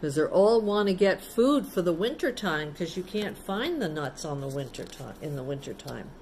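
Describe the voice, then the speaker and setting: A woman's voice: lowish voice, lively intonation, normal speed, a little mellow, slight background noise. A woman storyteller reading a picture book aloud to children, adding simple explanatory asides in a casual, child-directed way.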